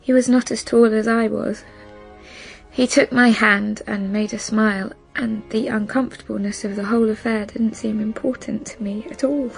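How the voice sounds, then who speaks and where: A woman playing a wistful young bride who recalls her past in a measured, reflective radio drama monologue. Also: a little high, fairly even intonation, gravelly, faint music behind, poor audio.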